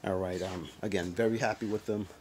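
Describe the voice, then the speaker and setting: A man's voice: low voice, fairly even intonation, a little fast. A man in his forties hosting a casual DIY walkthrough, talking loosely with many fillers and restarts, filmed on a handheld phone in his driveway and garage. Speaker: DIY video host. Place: outdoors in a driveway and in a home garage. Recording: windy outdoor phone audio with clicks. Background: wind noise.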